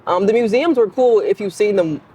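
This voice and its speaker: A woman, a guest musician chatting in an animated, emphatic way. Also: mid-range voice, a little fast, muffled recording, crowd noise. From Louisiana and Houston, Texas. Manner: animated, emphatic, laughs often. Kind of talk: casual interview chat.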